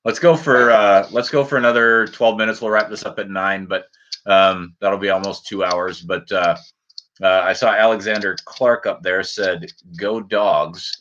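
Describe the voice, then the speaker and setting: Low, bright-edged American man on lo-fi audio. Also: fairly even intonation, moderate pace, a little hiss or hum. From Minnesota and Wisconsin, US. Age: 40s. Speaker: fishing channel host. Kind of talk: casual livestream chat. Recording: dull, lo-fi stream audio.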